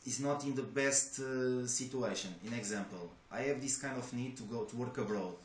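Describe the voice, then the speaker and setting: A man's voice: mid-range voice, moderate pace. A young man from Portugal, a native Portuguese speaker with a Portuguese accent, answering job interview questions in English with hesitant restarts. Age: young adult. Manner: hesitant, many restarts and fillers. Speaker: job applicant. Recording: echoey room with faint noise.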